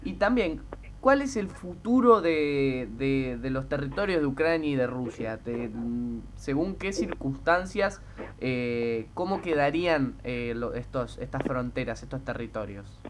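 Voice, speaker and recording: A man's voice: mid-range voice, expressive, unhurried, slight background noise. A man interviewing conversationally on the radio, hesitantly feeling his way through his questions.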